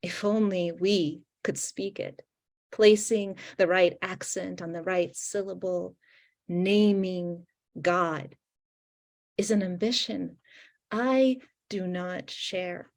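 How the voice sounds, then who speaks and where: A middle-aged woman from Ukraine whose first language is Russian, reading her poems slowly and deliberately over a video call. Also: medium pitch, darkish tone. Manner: slow, deliberate reading with weighted pauses. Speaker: poet. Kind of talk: poetry reading. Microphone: video call microphone.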